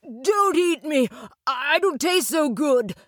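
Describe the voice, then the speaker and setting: A cheeky, dramatic young lad from a storybook, played by a woman. The voice sits fairly high, pleading and complaining in a theatrical way. The sound is dry and close. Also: lively intonation, unhurried, no room sound. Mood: frightened.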